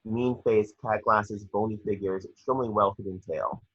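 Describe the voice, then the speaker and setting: A man's voice: lowish voice, steady tone, moderate pace, a bit bright, husky. A man narrating scripted action descriptions at an amateur table read, on a slightly dull home recording. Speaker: reader of stage directions.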